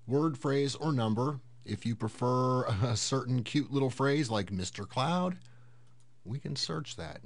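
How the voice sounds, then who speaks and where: A man hosting a casual software tutorial, explaining things step by step in an unhurried way. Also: mid-range voice. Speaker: host of a tech tutorial series.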